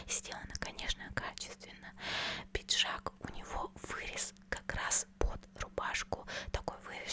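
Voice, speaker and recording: A woman whispers softly as she walks through a product review in ASMR style. Her voice stays breathy and unvoiced, as a gentle whisper from start to finish. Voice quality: soft whisper. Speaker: ASMR creator.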